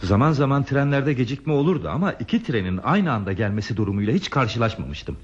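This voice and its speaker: An actor playing an earnest, anxious railway stationman in a radio play, with a husky voice. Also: medium pitch, animated, fast. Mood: relieved, grateful. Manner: earnest, repeats words when flustered. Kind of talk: Turkish radio theatre acting. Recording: muffled old radio drama recording.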